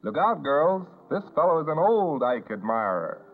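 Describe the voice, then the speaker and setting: A man's voice: on the high side, sing-song, normal speed. An American man narrating a 1950s newsreel in a polished, scripted announcer style, on an old film soundtrack. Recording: tinny band-limited 1950s newsreel soundtrack. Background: newsreel music.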